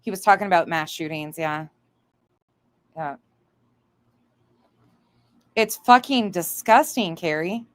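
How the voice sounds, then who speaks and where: Blunt, low-voiced woman livestreamer. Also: animated, takes it slowly, long pauses, no echo. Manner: blunt, indignant, heavily swearing. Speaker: livestream host. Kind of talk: livestream commentary reacting to another creator, chatting with viewers.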